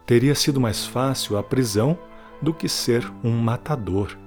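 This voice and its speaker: A man playing a weary, guilt-ridden prisoner who confides a farewell testimony in a solemn, deliberate audio drama monologue. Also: mid-range voice, over music, natural voice. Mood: grim, resigned.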